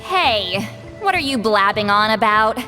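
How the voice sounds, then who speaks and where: A woman voicing a brisk, no-nonsense granny of a tribe, sharp but caring, in a video game. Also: medium pitch, big pitch swings. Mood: firm. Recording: soft game music behind the voice.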